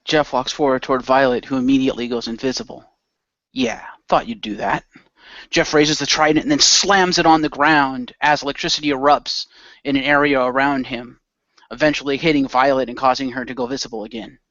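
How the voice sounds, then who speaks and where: A man with a middle-pitched voice narrates his moves in a role-playing game. He drops in wry, grumbling asides. The recording is very dry and a touch muffled. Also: fairly even intonation, a little fast, a bit bright, dry recording. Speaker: tabletop role-play player. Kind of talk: improvised narration with casual in-character asides.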